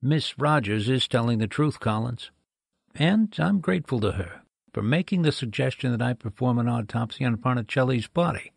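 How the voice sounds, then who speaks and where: A man plays a formal medical examiner in an old-time radio mystery. His mid-pitched voice has plenty of gravelly creak. He explains in measured, precise phrases, with a close, dry sound. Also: expressive, no echo. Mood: precise, authoritative. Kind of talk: 1940s-style radio drama acting.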